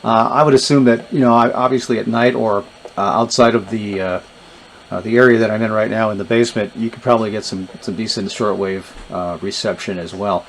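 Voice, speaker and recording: A man giving a casual, unscripted gadget demonstration, thinking aloud in a low-key, hesitant way. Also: steady tone, a little fast. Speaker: vintage radio reviewer demonstrating gadgets. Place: indoors in a basement. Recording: noisy recording with radio static. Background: radio static and hiss.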